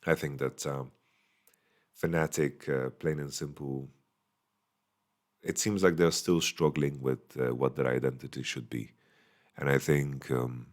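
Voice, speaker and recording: A man with a slightly hoarse voice, casually giving a solo esports recap from a small hotel room into a microphone, thinking aloud with many fillers. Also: extremely low pitch. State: voice going a bit hoarse. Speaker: esports analyst. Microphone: studio microphone.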